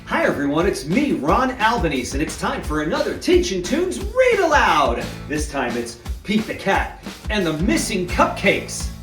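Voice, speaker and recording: A man's voice: high voice, wildly swooping pitch, normal speed, music in the background. A man reading a picture book aloud for children in a theatrical, exaggerated storyteller style. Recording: roomy echo.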